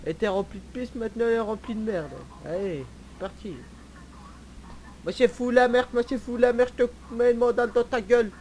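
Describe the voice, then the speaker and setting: High-pitched clownish man, roleplaying. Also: fairly even intonation, fairly quick, a little mellow, poor audio. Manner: teasing, crude, clownish, repeats phrases. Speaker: player trolling in an online roleplay game. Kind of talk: improvised in-game roleplay banter. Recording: faint background noise.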